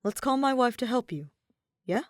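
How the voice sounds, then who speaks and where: A woman voicing a calm, tactful male palace general in a fantasy story. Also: on the high side, moderate pace, no echo, studio quality, voice pushed up, natural voice.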